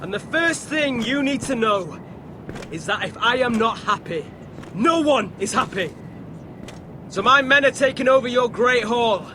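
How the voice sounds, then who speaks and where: An actor playing a cocky, bullying young noble commander in a fantasy game, with a taunting, swaggering manner. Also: male voice, extremely high pitch, lively intonation, measured pace. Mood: domineering. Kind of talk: dramatic video game acting. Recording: clear background noise.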